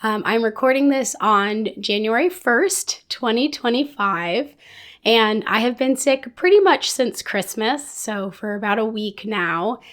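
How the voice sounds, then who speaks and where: A woman with a somewhat high voice talks casually, like a hobby podcaster speaking to her viewers. She sounds hoarse and a bit sick, as if her voice is only just coming back. Her delivery is informal, rambling and self-deprecating. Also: expressive, unhurried, fairly bright tone. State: hoarse, under the weather with a recovering lost voice. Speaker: knitting podcast host. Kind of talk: casual chat to camera.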